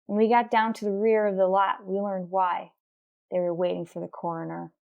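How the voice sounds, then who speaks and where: Woman acting a terse, deadpan female police detective. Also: mid-range voice, normal speed, creaky, narrow, tinny audio, lightly acted. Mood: matter-of-fact. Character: determined, businesslike female police detective sergeant narrating her case. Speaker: narrator and lead actor. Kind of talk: 1950s police radio drama narration, clipped and matter-of-fact.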